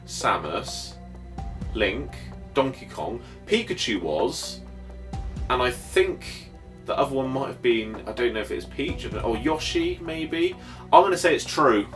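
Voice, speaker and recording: Casting: male podcast co-host, very lively intonation, casual sweary banter, music underneath. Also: mid-range voice, measured pace. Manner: sweary, blokey, animated. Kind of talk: casual banter, gaming quiz.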